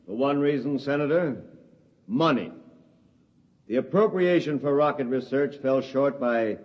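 An actor playing a stern, defensive military general in a 1950s radio drama, testifying formally and emphatically. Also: male voice, mid-range voice, measured pace, lightly acted. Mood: firm, defensive. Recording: dull, reverberant hearing-room radio sound.

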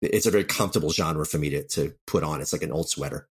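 Low-voiced man, candid storyteller. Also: fast talker, breathy edge. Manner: anecdotal, candid. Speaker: interview guest, audio drama writer. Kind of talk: reflective interview talk.

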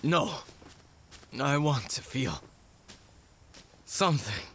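An actor playing a dying, worn-out cyborg soldier in an audio drama, strained, halting and fatalistic. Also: male voice, medium pitch, sing-song, background noise. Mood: pained, bitter. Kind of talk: cinematic audio drama acting.

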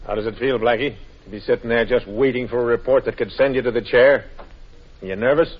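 An actor playing a sarcastic, blustering police inspector in 1940s radio style. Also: male voice, medium pitch, fairly even intonation, quick pace, husky, close to an everyday voice. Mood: mocking. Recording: dull, muffled 1940s radio recording.

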